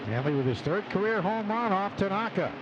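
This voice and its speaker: A man doing live radio baseball play-by-play, calling the action in clipped phrases between pauses. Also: high voice, animated, muffled recording. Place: open broadcast booth at outdoor ballpark. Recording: noisy outdoor ballpark broadcast. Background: loud stadium ambience.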